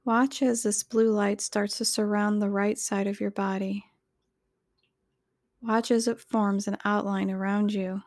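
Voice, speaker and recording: A woman narrates a guided meditation in a soft, soothing voice. She speaks very slowly and evenly, with little movement in pitch. Her delivery is measured and instructional, recorded close and dry. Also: no echo.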